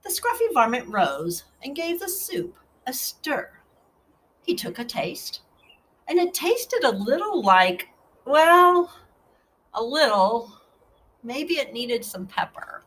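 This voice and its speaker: A woman's voice: high-pitched, very animated, takes it slowly, a bit bright, poor audio. A woman narrating a children's storybook expressively, with asides to her young listeners. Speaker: storybook reader.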